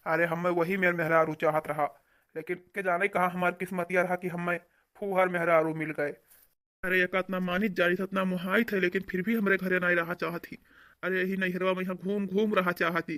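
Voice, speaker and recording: A young Awadhi village husband from a cartoon comedy , acted by a man. His voice is high for a man and closely, dryly recorded, with a long-suffering, grumbling delivery. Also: a bit bright, no room sound. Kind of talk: comic cartoon dialogue.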